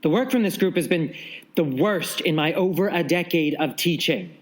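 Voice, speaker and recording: A man's voice: on the high side, lively intonation, moderate pace, lightly acted. A man playing a harsh veteran art professor in an audio drama, stern, berating and contemptuous. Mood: scornful, angry. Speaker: actor in audio fiction.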